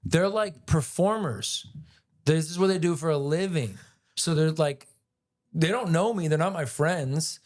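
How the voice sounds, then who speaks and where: A man with a raspy voice, a guest actor telling a rambling, animated story in a casual interview. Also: a little high, measured pace. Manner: animated, rambling retelling with many "like"s.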